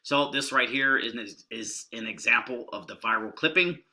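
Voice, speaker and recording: A middle-aged man with a very bright, forward tone chats loosely through a screen-share walkthrough. He holds back his big voice to talk softer than usual, and he rambles with a folksy, offhand manner. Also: mid-range voice. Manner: rambling, folksy and deliberately subdued. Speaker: online marketing video host.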